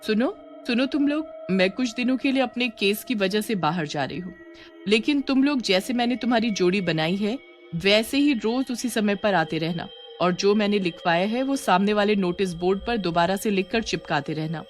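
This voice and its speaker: A woman's voice: medium pitch, animated, moderate pace, soft background music, natural voice. A woman voicing a friendly but firm teacher giving instructions to pupils in a story. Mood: falsely friendly.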